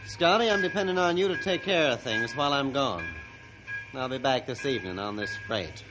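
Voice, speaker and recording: An actor playing a stubborn, bossy railroad owner with an imperious manner in a 1950s radio drama. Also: male voice, mid-range voice, lively intonation, fairly quick, music in the background, lightly acted, rough recording. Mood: smug, evasive. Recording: vintage 1950s radio recording.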